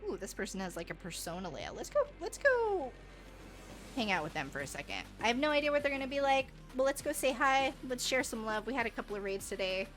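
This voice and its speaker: A woman's voice: on the high side, very animated, fast talker, fairly bright tone. Female game streamer, bubbly and rambling, casual live chat with music behind her. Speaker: livestreamer.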